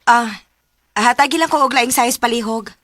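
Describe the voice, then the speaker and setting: An actress playing a devoted young working daughter in a radio drama, speaking with a Cebuano accent. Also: female voice, a little high, moderate pace, heavy background noise, close to an everyday voice. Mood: insistent. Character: Dali, devoted working daughter, young woman narrating her story. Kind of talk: radio drama acting and narration.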